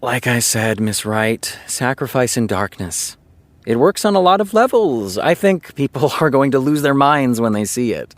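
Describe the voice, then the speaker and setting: Man playing a pompous, animated TV showrunner. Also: mid-range voice, no room sound, natural voice. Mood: smug. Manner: pompous, deliberate, lecturing. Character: smug, self-assured male TV showrunner and writer. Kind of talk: naturalistic audio drama acting.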